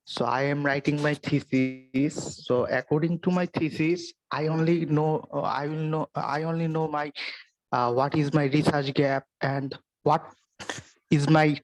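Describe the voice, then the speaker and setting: A man's voice: on the high side, flat delivery, measured pace, rough recording. A male doctoral student with a Bangladeshi accent answering a lecturer's question hesitantly, often rephrasing. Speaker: PhD student, seminar participant. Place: online video call.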